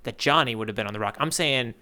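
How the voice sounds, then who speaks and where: A man's voice: mid-range voice, fast, bright, forceful tone. A man co-hosting a podcast as a game contestant, chatting casually and thinking aloud as he wavers between guesses. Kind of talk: casual chat, playful quiz banter.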